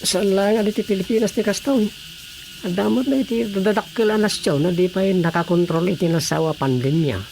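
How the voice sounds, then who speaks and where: An inquisitive, know-it-all Ilocano everyman in a radio comedy drama. His voice is creaky and emphatic as he explains things, with faint noise and insects behind him. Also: sits high, brisk, darkish tone, rough recording. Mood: earnest explaining.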